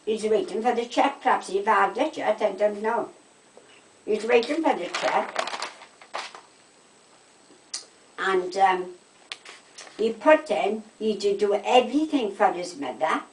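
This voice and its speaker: An older woman with a South Wales Valleys accent, chatting casually and telling anecdotes in an animated way. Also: medium pitch, a little slow, long pauses. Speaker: storytelling interviewee. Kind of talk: casual chat, personal anecdotes. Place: indoors at a house. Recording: roomy echo, distant microphone.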